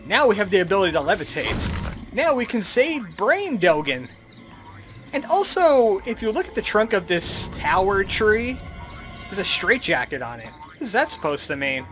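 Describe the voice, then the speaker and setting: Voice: medium pitch, big pitch swings, moderate pace. A video game commentator narrating a playthrough with playfully sarcastic asides. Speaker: gaming video host. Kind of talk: Let's Play commentary. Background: adventure game music.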